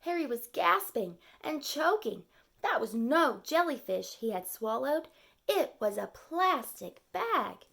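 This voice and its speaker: A woman's voice: sits high, very animated, takes it slowly, gravelly, fairly bright tone. A woman reading and explaining a children's story expressively, in simple phrases aimed at young listeners.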